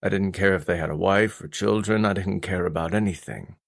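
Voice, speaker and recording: A man voicing a stern, intimidating middle-aged father in an audiobook, speaking in a grave, restrained, deliberate way with a gruff love underneath. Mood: grim confession. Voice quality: deep, low, weighty voice.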